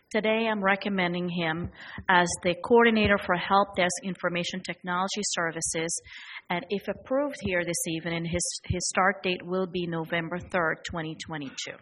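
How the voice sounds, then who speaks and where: A woman's voice: lowish voice, fairly bright tone, low-quality recording. A woman superintendent formally presenting an appointment at a school board meeting. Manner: reads recommendations carefully, some stumbles.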